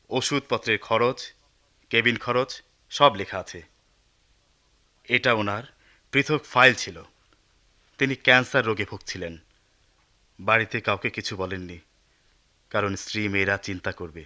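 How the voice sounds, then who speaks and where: An actor playing an earnest, righteous young man testifying in a radio courtroom drama, speaking in emphatic, deliberate phrases. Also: mid-range voice, pushed and bright.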